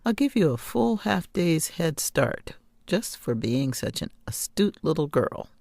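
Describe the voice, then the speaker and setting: A woman voicing a kindly, amused old woman of the woods in a storybook, speaking in a measured, wry, teasing way. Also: low voice, very animated, gravelly, darkish tone, no echo, clean studio sound, heavily acted. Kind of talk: storybook character reading.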